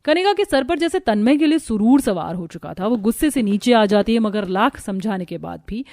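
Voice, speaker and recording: A woman's voice: mid-range voice, lively intonation, normal speed, darkish tone, no echo, studio quality. A woman narrating a scripted cartoon family story.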